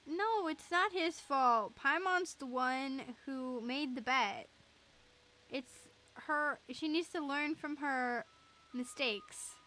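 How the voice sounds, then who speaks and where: A woman's voice: sits high, slow pace, bright, forceful tone. A woman giving casual, giggly, reactive commentary as she plays a game. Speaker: game streamer.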